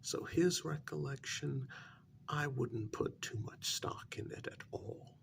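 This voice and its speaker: Male actor as evasive worker placating his boss, heavy vocal fry, slow, soft-spoken, hesitant, ASMR role-play. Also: medium pitch, a little hiss or hum. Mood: reluctant, deferential. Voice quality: breathy, half-whispered. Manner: hesitant, polite, gossipy while feigning reluctance.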